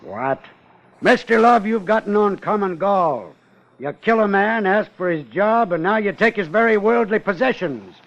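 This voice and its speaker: An actor playing a gruff, suspicious whaling captain in a 1950s radio drama, with salty, challenging talk. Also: male voice, high-pitched, lively intonation, normal speed, a bit bright. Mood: stern. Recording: muffled 1950 radio broadcast.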